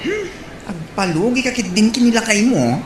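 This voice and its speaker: A woman's voice: on the high side, animated, normal speed, lightly acted. A woman playing a teasing, complaining neighbor in a comedy drama, with an Ilocano accent. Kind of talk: comedy radio-style drama acting. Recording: roomy echo, faint background noise.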